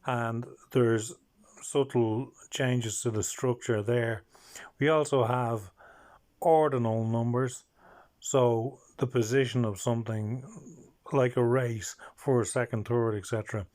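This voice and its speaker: A man's voice: medium pitch, steady tone, slow pace, darkish tone. A man with an Irish accent hosts a language-learning video, carefully explaining and repeating each number word.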